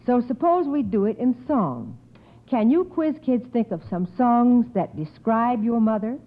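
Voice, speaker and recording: High-voiced woman hosting an old radio quiz. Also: big pitch swings, a little slow. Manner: polite, formal phrasing. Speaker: quiz show host. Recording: muffled old radio recording.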